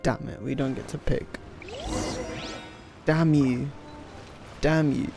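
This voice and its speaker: A woman's voice: very deep voice, fairly even intonation, slow pace. A woman giving casual gameplay reactions as a streamer, in blunt, sweary, muttered asides. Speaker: game streamer. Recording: loud game music behind.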